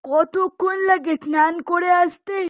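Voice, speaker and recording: A woman's voice: very high voice, fairly even intonation, unhurried. A woman playing a nagging, bossy village housewife in a moral-story cartoon, with a commanding manner. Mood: scolding, impatient. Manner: scolding, commanding.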